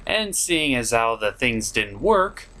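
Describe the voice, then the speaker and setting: A man's voice: medium pitch, very animated, takes it slowly, pushed and bright, a little hiss or hum. A man hosting a comedic scripted cartoon review in a theatrical, sarcastic and exasperated manner. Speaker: host of an online puppet review show.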